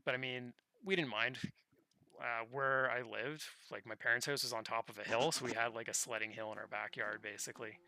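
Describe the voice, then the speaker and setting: A man's voice: mid-range voice, steady tone, brisk, vocal fry, sharp tone, no echo, low-quality recording. A man in his thirties chatting casually and ramblingly on a livestream while he plays a game. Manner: rambling, easygoing storytelling. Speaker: game streamer. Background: video game music.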